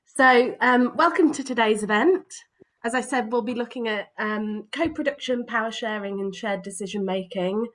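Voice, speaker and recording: A woman's voice: fairly high pitch, normal speed, fairly bright tone. Woman hosting an online event. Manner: hesitant, many ums. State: slightly hoarse with a cough. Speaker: event host. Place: video call.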